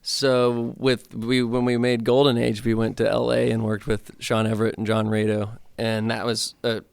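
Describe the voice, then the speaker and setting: Gravelly-voiced guy in his 30s, rambly and relaxed, radio studio, dry sound, a little music in the back. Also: mid-range voice, moderate pace, no echo. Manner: loose, filler-heavy talk with lots of "like". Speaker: musician guest. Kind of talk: casual interview chat.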